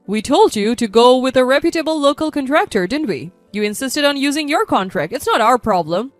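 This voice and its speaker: A woman's voice: high-pitched, lively intonation, fairly quick, no echo. A woman voicing a blunt, bitter man in a story's family feud. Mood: angry, cold. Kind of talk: acted dialogue in a narrated story. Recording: background music under the voice.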